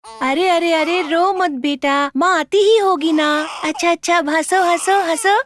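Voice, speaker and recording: An actress playing a mistreated young girl who speaks softly and pleads. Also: extremely high pitch. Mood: soothing. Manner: soft, pleading, slow. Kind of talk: melodramatic web drama acting.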